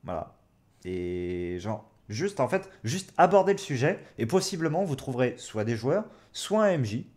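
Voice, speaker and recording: A young man in his twenties speaks in a casual, conversational way. He thinks aloud as he gives advice, hesitating along the way. His pitch sits in a middle range for a man. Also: big pitch swings, measured pace, darkish tone. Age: 20s. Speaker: host, experienced game master giving advice. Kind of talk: casual chat, advice to beginners.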